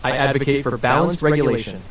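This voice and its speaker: Computer voice guy answering questions, smooth and dodgy, sounds far away in a big echoey room. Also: mid-range voice, fast. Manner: smooth, even, evasive scripted answers. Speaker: AI interview guest. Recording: roomy and distant with faint hiss.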